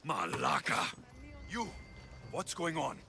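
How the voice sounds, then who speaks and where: A man playing a gruff, hot-tempered, sardonic Greek mercenary with a Greek accent in a video game cutscene, speaking bluntly. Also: on the high side, wildly swooping pitch, slow, background noise, exaggerated voice. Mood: angry, threatening. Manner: forceful, blunt.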